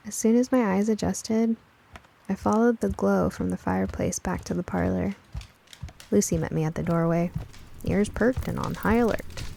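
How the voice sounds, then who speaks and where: A woman's voice: medium pitch, gravelly, dark tone, a little hiss or hum. A woman narrating a scripted first-person story in the style of a nostalgic radio drama. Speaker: narrator, writer and producer of the show.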